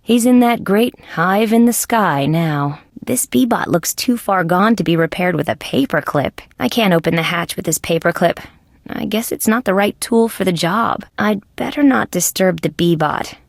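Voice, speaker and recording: An actress playing a wry, level-headed female insect detective in a scripted video game, with a deadpan, dryly sarcastic manner. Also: medium pitch, moderate pace, gravelly, dry recording, studio quality. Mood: dry, sardonic, then matter-of-fact. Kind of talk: scripted adventure game voice lines.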